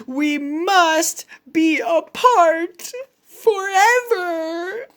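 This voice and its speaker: A woman voicing an anxious male elephant from a children's picture book, halting and dramatic. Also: very high voice, fairly bright tone, pitched above the performer's own voice, full character voice. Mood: despairing. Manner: halting, drawn-out, dramatic delivery.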